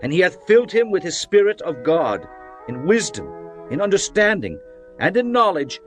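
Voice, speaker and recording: Man acting impassioned prophet, swooping high delivery. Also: very animated. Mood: solemn, exalted. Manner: declamatory, deliberate, solemn phrasing. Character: Moses, impassioned male prophet and leader addressing his people. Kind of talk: dramatised scripture reading. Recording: music bed behind the voice.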